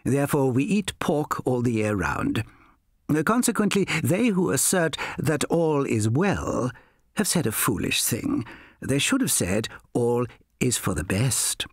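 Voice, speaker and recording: A man voicing a pompous, complacently optimistic philosopher tutor in an audiobook, lecturing with hesitant ums and drawn-out words. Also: medium pitch, very animated, no room sound, studio quality. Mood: pedantic, self-satisfied.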